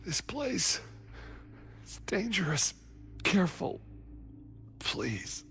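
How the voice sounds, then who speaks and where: An actor playing a wounded, frightened supply transport man in a video game, speaking in a halting, weakened way. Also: sits high, sing-song, vocal fry, sharp tone, a little hiss or hum, full character voice. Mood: pained, fearful. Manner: halting, weakened, labored delivery. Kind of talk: dramatic video game voice acting.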